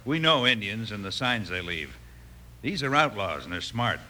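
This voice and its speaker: A man's voice: fairly low pitch, big pitch swings, normal speed, pushed and bright, full character voice. A man playing a weary, earnest army captain in an old radio western, grave and clipped, with an American accent. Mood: weary, determined. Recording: faintly noisy old radio recording.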